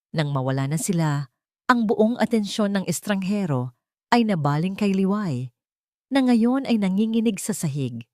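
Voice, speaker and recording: A woman's voice: mid-range voice, a little mellow, no room sound, studio quality. A woman with a Filipino accent reading a scripted story as a dramatic narrator.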